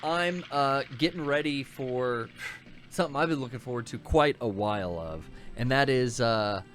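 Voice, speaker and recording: A man's voice: medium pitch, moderate pace, a bit bright. A man in his thirties co-hosting a casual livestream from a small home room full of records, joking and bantering loosely. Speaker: co-host.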